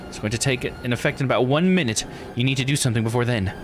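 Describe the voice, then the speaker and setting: A man's voice: medium pitch, very fast, background noise, natural voice. A man voicing a solemn, protective mystic who wards off curses, reading grave visual novel dialogue. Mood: urgent, grave. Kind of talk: visual novel character read-aloud.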